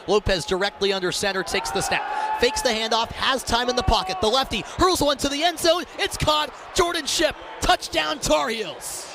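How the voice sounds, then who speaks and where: A man's voice: very high voice, normal speed, crowd noise, background noise. An American man doing live radio play-by-play, calling the action in detailed, punchy phrases. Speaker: play-by-play sports announcer. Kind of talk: live radio sports commentary.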